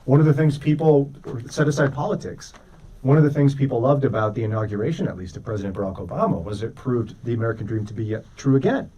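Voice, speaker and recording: A man's voice: mid-range voice, animated, quick pace, warm tone, breathy edge, distant mic, rough recording. A man co-hosting a radio show with casual, conversational commentary, often checking in with his listener through rhetorical questions. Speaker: morning radio co-host.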